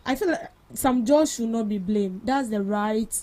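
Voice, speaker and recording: A woman's voice: fairly high pitch, dark tone. A young woman with a Ghanaian English accent, co-hosting a breakfast radio talk show and firmly arguing her views on the news. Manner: emphatic, quick to cut in with firm opinions. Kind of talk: casual radio chat about news.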